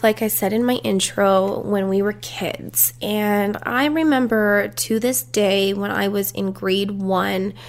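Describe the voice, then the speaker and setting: A young woman talks through her podcast in a loose, confiding way, drifting into stories from her childhood. Her nose is blocked and her voice comes out stuffy and congested, at an ordinary middle pitch. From Canada. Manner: chatty, confiding, storytelling. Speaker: podcast host. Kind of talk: casual solo podcast chat.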